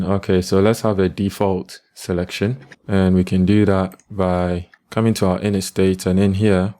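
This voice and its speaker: Low-key man with a flat, mellow voice. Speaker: programming tutorial instructor. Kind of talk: step-by-step screencast coding tutorial.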